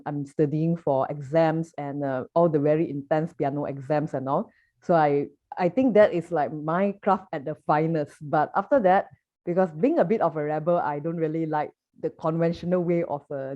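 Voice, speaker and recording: A woman's voice: sits low, mellow. A young woman from Malaysia with a Malaysian accent, chatting as an interview guest with many fillers and restarts. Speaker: poet and artist guest. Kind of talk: casual interview answers. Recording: muffled lo-fi audio.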